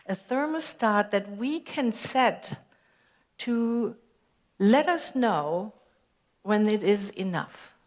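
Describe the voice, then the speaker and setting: A woman minister with a German accent, giving a conversational sermon and putting questions to her congregation. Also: medium pitch. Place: church sanctuary.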